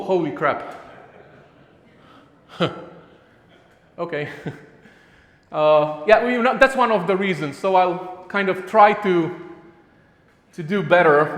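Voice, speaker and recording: A man's voice: sits high, fairly bright tone. Chatty Romanian man in an echoey hall. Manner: chatty and self-mocking, with frequent "like" and restarts. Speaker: conference speaker. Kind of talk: live tech conference talk. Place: conference hall before a live audience. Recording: echoey hall with a long reverb.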